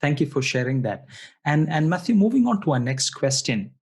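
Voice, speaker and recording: Polite, husky-voiced male interviewer. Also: on the high side, very animated, brisk. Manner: polite and formal, repeats praise. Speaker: interview host. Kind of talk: podcast interview.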